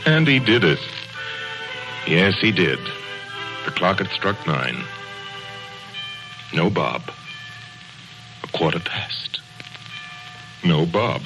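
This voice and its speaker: A man's voice: deep voice, fairly bright tone, low-quality recording. A man narrating a 1930s radio drama as a dramatic storyteller, in short emphatic phrases. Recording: old radio broadcast, music behind.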